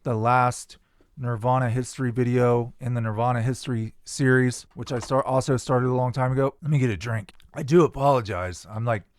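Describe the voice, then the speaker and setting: A man's voice: mid-range voice, fairly even intonation, brisk. A man reading a biography aloud for his channel and breaking off for casual asides, his voice starting to give out. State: losing his voice. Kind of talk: book reading with commentary.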